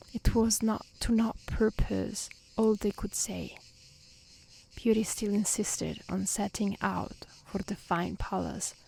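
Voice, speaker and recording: A woman reading a fairy tale aloud in a soft, near-whispered ASMR style, gentle and calm. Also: medium pitch, vocal fry, a little hiss or hum, poor audio. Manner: gentle, calm, unhurried delivery. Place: outdoors in nature.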